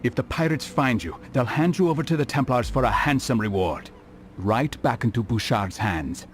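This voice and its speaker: A man voicing a grave, learned assassin in a video game, speaking in a measured, formal way. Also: medium pitch, lively intonation, close to an everyday voice. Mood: stern, businesslike. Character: grave, learned young assassin, stern and reflective.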